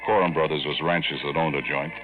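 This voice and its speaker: A man's voice: extremely low pitch, steady tone, fast, music in the background. An actor playing a folksy, wisecracking Air Corps veteran telling his tale in slangy American speech for radio drama. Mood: casual, folksy. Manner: colloquial, slangy, confiding. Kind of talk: old-time radio first-person storytelling. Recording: tinny, band-limited vintage radio recording.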